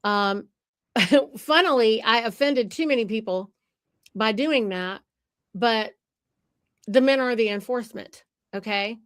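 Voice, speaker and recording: A woman's voice: on the high side, slow, pauses often, a bit bright, clear, resonant tone, no echo, studio quality. A woman hosting a podcast conversation, talking in an emphatic, lecturing way. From United States. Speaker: podcast host.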